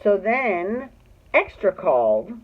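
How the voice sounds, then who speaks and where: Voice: medium pitch, very animated, slow. A middle-aged person with a Californian accent telling personal stories casually, in a catty, dramatic and sweary way. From Glendale, California, United States. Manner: catty, dramatic, profane, acts out dialogue. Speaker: storyteller host of own show. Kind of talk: casual personal storytelling to camera. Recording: muffled phone-like narrow-band audio.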